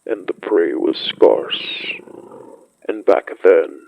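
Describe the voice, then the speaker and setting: Man as an ancient gravelly wolf-beast, very deep. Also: dull audio, heavily acted. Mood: ominous, awed. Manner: solemn, measured, poetic. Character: ancient great wolf-like beast, solemn pack elder, recalling primeval winters. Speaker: storyteller. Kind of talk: scripted dramatic monologue, horror fiction podcast.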